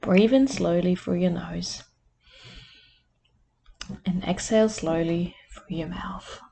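Slow, soothing woman guiding a meditation. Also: lowish voice, steady tone, gravelly, slight background noise. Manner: soft, soothing and unhurried. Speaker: life coach and podcast host. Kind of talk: guided meditation.

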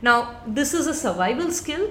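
A woman's voice: high voice, big pitch swings, fairly bright tone. Reflective Indian English woman, roomy live sound. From South India. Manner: reflective, many pauses and restarts. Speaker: spoken word performer. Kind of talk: conversational interview answers. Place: live event room. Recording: roomy live recording, faint noise.

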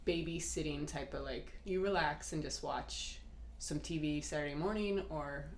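A young woman telling relaxed personal stories in casual podcast chat. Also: low voice, normal speed, roomy sound, a little hiss or hum. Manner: relaxed storytelling, many fillers.

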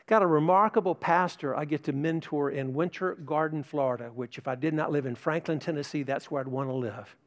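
A man's voice: fairly high pitch, brisk, few pauses. An older man preaching a sermon to a congregation, earnest and emphatic, often repeating words as he builds a point. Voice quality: mentions tinnitus, no vocal trait. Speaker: pastor.

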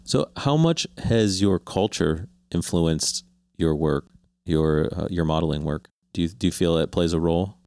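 A man hosting a conversational interview, putting his questions in a thoughtful, halting, rambling way. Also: deep voice, darkish tone, no room sound. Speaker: interviewer, host. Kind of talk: conversational long-form interview.

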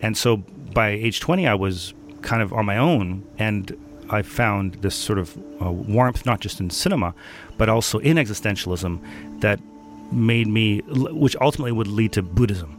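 A man from Denmark, a guest in an interview, answering thoughtfully with many pauses and fillers. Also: lowish voice, animated, faint music behind.

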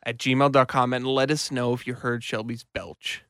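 A man's voice: medium pitch, flat delivery, normal speed, fairly bright tone, smooth, dry recording. A man hosting a podcast in a casual chat, upbeat and rambling, with many fillers, close to a studio mic. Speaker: podcast host.

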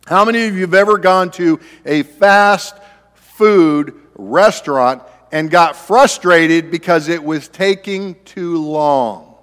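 Pastor in his fifties: a high, unhurried man's voice with easy asides, ringing through a reverberant church hall. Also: a bit bright. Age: 50s. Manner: conversational, chatty asides to the congregation. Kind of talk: sermon. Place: church sanctuary.

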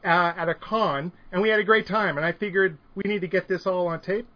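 A man's voice: sits high, fairly quick, dull audio. A man hosting a podcast, introducing a guest in an upbeat, enthusiastic way. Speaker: podcast host. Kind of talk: casual podcast interview.

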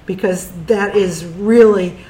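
A woman with a medium-pitched voice hosts an interview with enthusiasm, steering her guests with questions. Faint background noise sits under her voice. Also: fairly even intonation, takes it slowly, mellow. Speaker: host. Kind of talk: interview hosting.

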